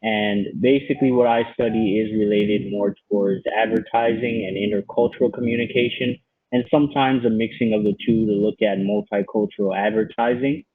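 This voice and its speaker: A man's voice: fairly low pitch, steady tone, brisk, breathy edge. A young man, a graduate student who grew up in Miami, introducing himself earnestly on a panel over a video call. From Bahamas and Haiti, raised in Miami. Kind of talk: prepared self-introduction. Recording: dry, phone-like video call audio.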